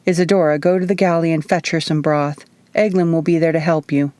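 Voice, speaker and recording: A woman's voice: low-pitched, steady tone, brisk, darkish tone, no room sound, natural voice. A woman voicing a stern, frustrated man in charge, terse and commanding, in an audiobook.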